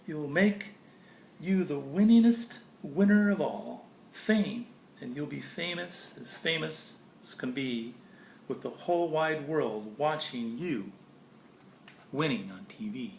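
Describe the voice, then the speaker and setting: A man's voice: on the high side, expressive, slow pace, vocal fry. A man reading a children's picture book aloud in a theatrical, playful way, with casual asides about the pictures. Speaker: storybook reader. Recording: roomy echo, faint background noise.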